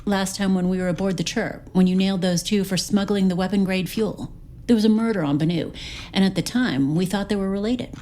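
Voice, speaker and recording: A woman playing a wry, capable investigator officer, reporting in a brisk, matter-of-fact way. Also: medium pitch, warm tone, close to an everyday voice. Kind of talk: audio drama acting.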